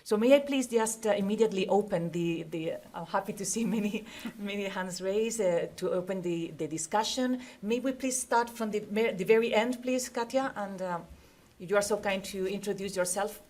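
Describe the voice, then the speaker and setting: A woman's voice: mid-range voice, normal speed, hardly any pauses, darkish tone. A woman moderating an academic conference panel and addressing the speakers and audience.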